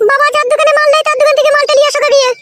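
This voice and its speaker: A domineering young cartoon wife from a village, scolding in a rural West Bengal accent. Her voice is tiny and piercingly high, and the coarse orders come very fast. Loud noise sits behind it. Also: little pitch movement. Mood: bossy. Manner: scolding, bossy, coarse-tongued. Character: fierce, bossy young village wife, threatening her husband. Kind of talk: comedy cartoon dialogue.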